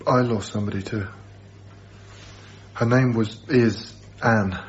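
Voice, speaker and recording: A man's voice: lowish voice, steady tone, slow pace, muffled recording, slight background noise. An actor playing a kindly, awkward young lodger in a naturalistic radio drama, hesitant and stammering as he speaks with concern. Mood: tentative sympathy. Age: young adult.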